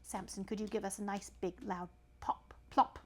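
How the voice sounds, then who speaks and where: A woman reading a children's story aloud in an animated style, with chatty asides to a young listener. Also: medium pitch, normal speed, very noisy.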